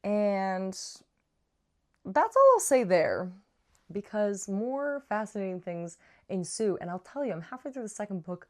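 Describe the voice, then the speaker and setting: Expressive woman chatting about books. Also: medium pitch. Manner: expressive, dramatic emphasis, playful quoted asides. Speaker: book reviewer, vlog host. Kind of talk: casual chatty book review with slangy asides.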